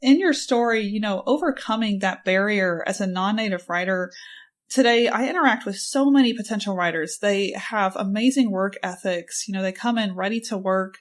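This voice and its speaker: Podcast host: woman, mid-range voice, upbeat and encouraging. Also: mid-range voice. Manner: enthusiastic, encouraging. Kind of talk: casual interview chat.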